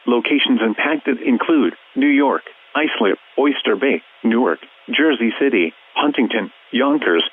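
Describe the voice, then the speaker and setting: A man's voice: mid-range voice, breathy edge. Tinny radio man, synthetic weather bulletin voice. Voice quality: synthetic text-to-speech voice. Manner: flat, formal, measured reading. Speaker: automated weather alert announcer. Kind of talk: scripted emergency weather bulletin.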